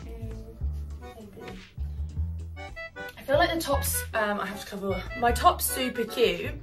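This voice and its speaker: A young woman chatting and giggling about an outfit she is trying on, talking to herself in a lively way. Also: on the high side, slow. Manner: giggly, animated self-talk. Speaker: lingerie reviewer. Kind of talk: casual try-on chat. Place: bright bedroom indoors. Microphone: camera across the room. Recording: echoey room, distant mic, music behind.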